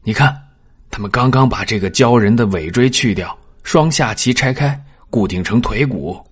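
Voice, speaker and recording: A man plays an officious, anxious household steward in an audiobook drama. The voice is husky and mid-pitched. He explains things deferentially. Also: lively intonation, moderate pace. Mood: explaining.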